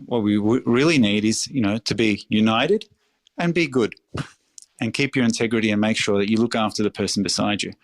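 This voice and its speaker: A middle-aged Australian restaurateur talking in a casual interview, passionate and emphatic, swearing freely. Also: male voice, fairly low pitch, brisk, no echo. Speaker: restaurateur guest.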